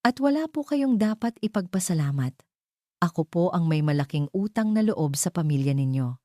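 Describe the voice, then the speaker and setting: A woman narrator plays a lovestruck male CEO from a romance story. The delivery is earnest, courteous and pleading. The voice is mellow and recorded dry. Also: on the low side, expressive, normal speed, dry recording, lightly acted. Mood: respectful.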